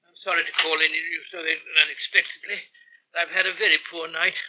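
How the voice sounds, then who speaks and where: A man's voice: a little high, little pitch movement, a little fast, bright, forceful tone. An actor playing a troubled elderly English father in a radio soap, stiff, formal and apologetic, on a dull old recording. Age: older. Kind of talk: British radio soap acting.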